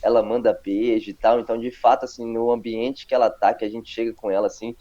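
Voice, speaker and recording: A pastor in his thirties with a mixed Brazilian accent, calmly telling his story in an interview, with many halting tag questions. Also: medium pitch. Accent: mixed Brazilian Portuguese, northeastern and paulista. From Paraíba, Brazil. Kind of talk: casual testimony interview. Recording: muffled lo-fi sound.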